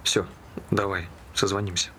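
A man's voice: low-pitched, flat delivery, moderate pace, bright, forceful tone, slight background noise. An actor playing a laconic, patient male friend with a terse, matter-of-fact manner in a stage drama. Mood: brisk, tired. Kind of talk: naturalistic stage dialogue.

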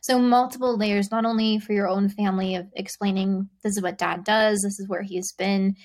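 A woman's voice: mid-range voice, steady tone, normal speed, darkish tone. A woman hosting an interview, asking encouraging, thoughtful questions. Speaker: interview host. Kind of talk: casual interview.

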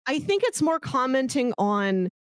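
Animated, higher-voiced woman chatting about films. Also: unhurried, clear, resonant tone, no room sound, clean studio sound. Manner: animated, chatty, laughs while talking. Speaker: podcast co-host. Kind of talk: casual film discussion.

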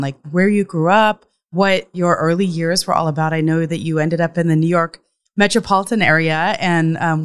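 A woman hosting a podcast, reading a scripted intro and then talking with a guest in an upbeat way, going through lists of topics. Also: lowish voice. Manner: upbeat, listing phrases. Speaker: podcast host.

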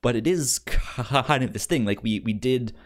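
A young man chats as a podcast co-host in a medium-pitched voice. He is casual and unsure of himself, halting often and repeating words or syllables as he works out a thought. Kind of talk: casual film discussion.

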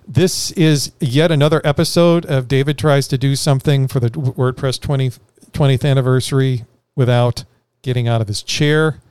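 A man's voice: medium pitch, darkish tone, clean tone, no echo. A man hosting a casual podcast panel, joking and self-deprecating as he introduces his guests. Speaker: podcast host.

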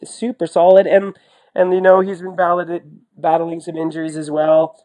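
A man's voice: on the high side, monotone, moderate pace, darkish tone. Talkative man in casual sports chat. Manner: talkative, colloquial, emphatic repetition. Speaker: podcast co-host and sports commentator, former athlete.

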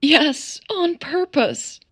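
A woman's voice: high-pitched, expressive, very slow, a bit bright, no echo, clean studio sound, voice pushed up, exaggerated voice. A woman voicing a frightened, breathless and upset woman on the phone in an audio drama. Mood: panicky. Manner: breathless, panicky, tearful. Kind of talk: audio drama character dialogue.

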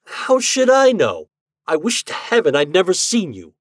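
A man playing a flustered, excitable husband in a comic story, blurting indignantly. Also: medium pitch, moderate pace, no echo, studio quality. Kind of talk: storybook character voice.

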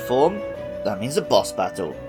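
A man's voice: mid-range voice, sing-song, moderate pace. A man co-hosting a video game podcast, giving casual commentary. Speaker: podcast co-host. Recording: music playing under the voice. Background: background music.

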